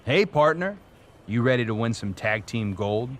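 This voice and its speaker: A man's voice: medium pitch, animated, normal speed, fairly bright tone, close to an everyday voice. A man playing a confident, competitive champion wrestler in video game cutscene dialogue, assertive and cajoling. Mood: assertive, irritated.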